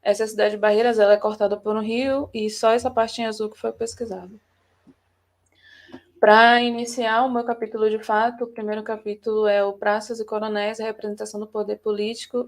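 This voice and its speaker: A woman's voice: mid-range voice, level tone, fast talker. A woman from Salvador presenting her research paper at a remote academic conference, walking through her slides informally and correcting herself as she goes. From Salvador, Bahia, Brazil. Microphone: computer mic for a remote talk.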